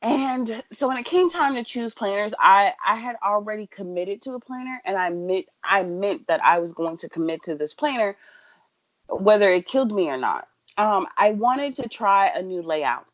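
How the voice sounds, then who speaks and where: A woman's voice: medium pitch, lively intonation, normal speed, dry recording, dull audio. A woman co-hosting a casual podcast, chatting playfully with sassy asides like "honey" and "girl.". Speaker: podcast co-host. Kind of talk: casual chat.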